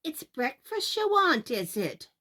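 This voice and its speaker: The ogre's great tall wife, acted by a woman, bossy and bustling. Her pitch is raised and swings up and down as she scolds briskly in storybook fashion. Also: sing-song, bright, forceful tone, exaggerated voice. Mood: brusque warning.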